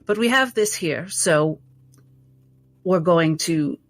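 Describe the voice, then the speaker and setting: A formal, polite female doctor in teaching mode, played by a woman at a game table. Her voice is low and creaky, and she picks her words very slowly and precisely. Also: lively intonation. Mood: formal lecturing. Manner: formal, deliberate, hesitant teaching tone.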